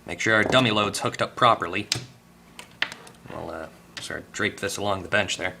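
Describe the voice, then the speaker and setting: A man giving a casual hands-on electronics repair tutorial, tentative and thinking out loud as he goes. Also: on the low side, normal speed, gravelly, a little hiss or hum. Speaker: repair video host. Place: at a workbench indoors.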